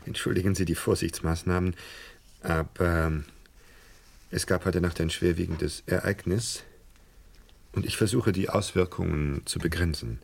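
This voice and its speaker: An actor playing a courteous, discreet hotel director in a radio crime drama, gravelly, formal and careful with halting politeness. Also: male voice, sits low, fairly even intonation, a little hiss or hum. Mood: formally polite.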